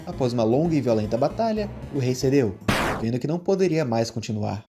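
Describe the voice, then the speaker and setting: A man with a Brazilian accent reading scripted story narration in an expressive storyteller's manner. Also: medium pitch, fast, over music.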